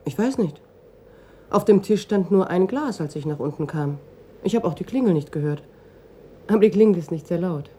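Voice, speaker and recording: An actress playing a reserved, bereaved daughter of almost thirty in a German radio crime drama, speaking in short, matter-of-fact sentences. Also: female voice, fairly low pitch, expressive, moderate pace, long pauses, dark tone, slightly rough. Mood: evasive, calm. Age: young adult.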